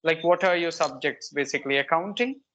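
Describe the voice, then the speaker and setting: A man's voice: a little high, fairly quick, bright, forceful tone, highs cut off. A man, hosting an interview and explaining grammar corrections in a patient, instructive way. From India. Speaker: host and English tutor. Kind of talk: coaching interview.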